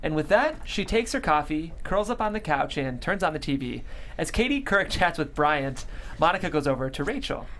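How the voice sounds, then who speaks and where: Female narrator for script stage directions, animated intonation, faint room noise behind. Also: low voice, brisk. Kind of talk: scripted narration at table read.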